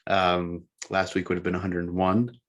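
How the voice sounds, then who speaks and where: A man co-hosting a sports show, recapping news in a halting, measured way with repeated words. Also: sits low, little pitch movement, a bit bright, muffled recording.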